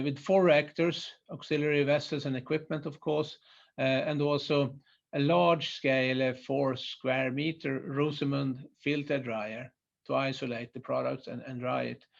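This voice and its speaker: Hesitant Swedish-accented man, gravelly, muffled. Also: medium pitch. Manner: hesitant, many fillers and restarts. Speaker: site manager presenting a chemical plant. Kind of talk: webinar presentation. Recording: muffled lo-fi webinar audio.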